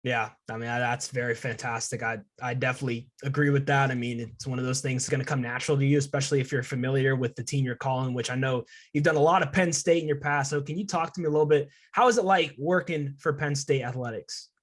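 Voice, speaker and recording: Young male student podcast host, quick delivery, mid-pitched, casual and full of agreement. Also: steady tone. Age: young adult. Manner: effusive, affirming. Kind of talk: casual interview chat. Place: remote video call.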